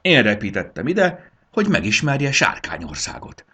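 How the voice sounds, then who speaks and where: A man's voice: mid-range voice, wildly swooping pitch, a bit bright, slightly rough, highs cut off, pitched above the performer's own voice, full character voice. A man voicing an earnest, loyal young male dragon in a children's storybook, explaining things sincerely. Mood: proud, earnest. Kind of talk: dramatized children's storybook reading.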